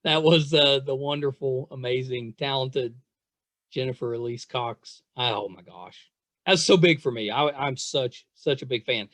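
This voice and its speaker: A man hosting a podcast in casual chat, gushing like an enthusiastic fan in halting, restarting sentences. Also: medium pitch, fairly bright tone, dry recording. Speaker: podcast host.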